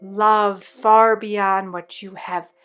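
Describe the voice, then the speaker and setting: A woman leading a guided meditation in a soothing, deliberate way with long pauses between phrases. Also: mid-range voice, flat delivery, fairly bright tone, smooth, soft background music.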